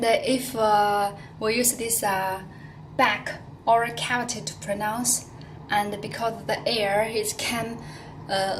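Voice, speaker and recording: A woman's voice: medium pitch, distant mic, noisy background. Chinese-accented woman teaching, echoey room. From China. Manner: explanatory, with many fillers and repeated phrases. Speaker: language teacher. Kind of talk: casual pronunciation lesson. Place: in Dubai.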